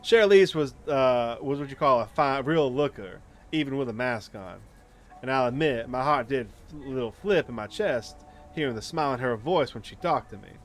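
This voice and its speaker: A man's voice: mid-range voice, expressive, normal speed. A man reading a visual novel aloud as a let's player, stumbling now and then and adding casual commentary. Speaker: video game let's player. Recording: clear background noise and ambience.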